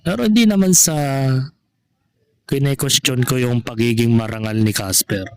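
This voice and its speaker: A man vlogging casual gossip commentary to his followers in a halting, repetitive way, often adding tag questions. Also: medium pitch, sing-song, clean tone.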